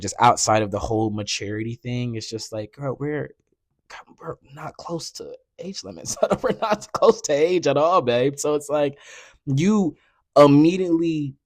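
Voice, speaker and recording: A man's voice: no room sound, studio quality. Sassy young man chatting on a podcast. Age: 20s. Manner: animated, sassy, conversational with frequent "you know what I'm saying"; rises into loud high outbursts when worked up. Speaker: podcast host. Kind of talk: casual solo podcast talk.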